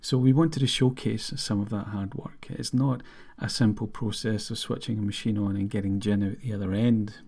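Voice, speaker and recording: A middle-aged man with a Scottish accent, hosting a podcast monologue in an earnest, measured way. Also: fairly low pitch, fairly even intonation, vocal fry, darkish tone, husky. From Scotland. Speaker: podcast host.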